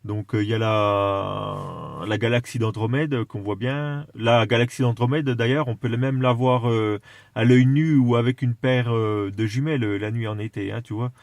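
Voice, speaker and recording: A man in a casual radio chat, explaining things with enthusiasm while haltingly searching for his words. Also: medium pitch, steady tone, vocal fry, no echo. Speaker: amateur radio operator.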